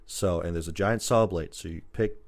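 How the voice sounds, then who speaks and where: A man with a low voice talks casually, like someone co-hosting a podcast. He explains things in a loose, rambling way, stringing his thoughts together as he goes. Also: normal speed. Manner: rambling, filler-heavy, loosely strung explanations. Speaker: podcast co-host. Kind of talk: casual chat about video game movies.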